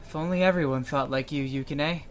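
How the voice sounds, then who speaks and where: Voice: mid-range voice, a little fast, a little hiss or hum, lightly acted. A performer voicing an easygoing, encouraging firearms mentor in a fan-made anime audio drama, talking casually and frankly. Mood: candid, relaxed.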